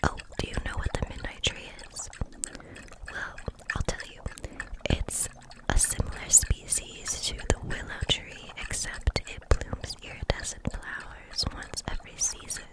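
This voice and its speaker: A woman whispering an ASMR roleplay as a gentle, kindly kitchen witch, soothing and unhurried in her manner. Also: poor audio.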